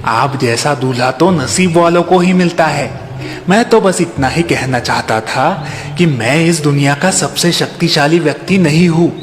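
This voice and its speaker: A performer voicing a polite, flattering cloud or wind spirit in a children's cartoon, courteous and deferential. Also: mid-range voice, normal speed, lightly acted. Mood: placating, flattering. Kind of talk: children's cartoon story acting.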